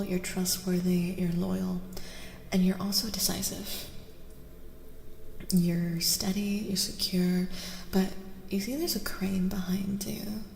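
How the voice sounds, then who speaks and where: A woman giving a soft-spoken ASMR tarot reading as a streamer, often slipping into whispers, soothing and reassuring as she slowly repeats phrases. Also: mid-range voice, creaky, slight background noise. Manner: soothing, reassuring, slow repetitions. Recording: reverberant echo with music behind. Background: music.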